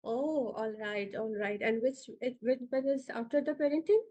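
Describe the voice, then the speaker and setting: A woman's voice: fairly high pitch, moderate pace, mellow, lo-fi sound, slight background noise. A woman in her forties with a Pakistani accent, giving an online orientation talk on a video call with many hesitant fillers. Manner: hesitant, many fillers, directs slide changes. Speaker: institute founder giving orientation.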